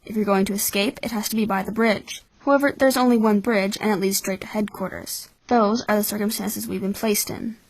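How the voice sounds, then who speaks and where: A woman voicing a cynical, calculating twelve-year-old orphan boy in a measured, blunt anime-style fan dub. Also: medium pitch, steady tone, close to an everyday voice, rough recording. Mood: cool, calculating.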